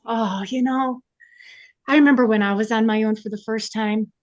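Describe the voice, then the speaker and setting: A woman performs a quirky young woman at a sitcom table read. Her voice is fairly high with very little room sound. She rambles sincerely, sharing too much in an earnest way. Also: steady tone, moderate pace, a little mellow, no room sound, lo-fi sound, close to an everyday voice. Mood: earnest, rambling. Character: Phoebe, quirky, sincere, oddly cheerful young oversharer.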